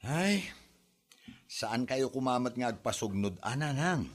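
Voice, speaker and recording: A man's voice: mid-range voice, lively intonation, measured pace. A man playing an exasperated grown son in an Ilocano comedy drama, chiding and reasoning with his parents in an Ilocano Filipino accent.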